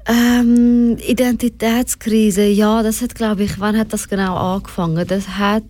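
A woman's voice: medium pitch, level tone, unhurried, a little mellow, no echo. A young woman with a Swiss German accent talking candidly and reflectively as an interview guest, dropping in English words. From Switzerland, of Afghan family. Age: young adult. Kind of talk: personal, reflective conversation.

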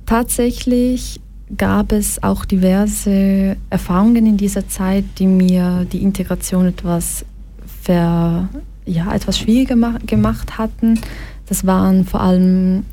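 A woman's voice: medium pitch, fairly even intonation, unhurried, dark tone. A young woman from Brazil giving a personal talk to an audience, earnest and reflective, at one point reading aloud from her childhood diary.

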